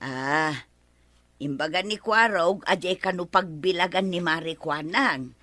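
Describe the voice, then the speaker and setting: An actress playing an easily miffed village neighbour in an Ilocano radio comedy drama, with expressive, drawn-out interjections. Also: female voice, on the low side, dry recording. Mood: neighbourly, eager. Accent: Filipino, Ilocano. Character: village neighbour woman borrowing a drying mat, easily miffed.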